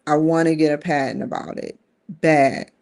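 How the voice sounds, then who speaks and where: A woman's voice: low-pitched, fairly even intonation, moderate pace, a little mellow. A woman in her forties hosting a live-streamed solo talk show, rambling earnestly with frequent restarts. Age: 40s. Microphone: streaming setup.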